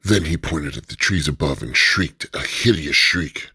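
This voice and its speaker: A man narrating an adventure radio drama in a measured storytelling manner. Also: very deep voice, animated, bright, forceful tone, slight background noise.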